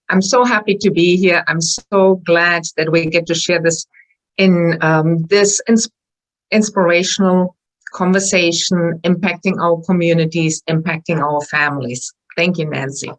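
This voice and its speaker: A woman with a German accent, a wellness coach speaking earnestly as an interview guest, with some halting restarts. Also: fairly low pitch, rough recording. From northwestern Germany. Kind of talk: interview conversation.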